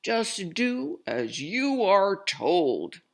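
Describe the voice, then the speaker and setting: A woman's voice: medium pitch, very animated, drawn-out words, voice pushed up, heavily acted. A woman voicing an angry, demanding, selfish male circus boss in a storybook, barking out orders. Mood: outraged, bossy.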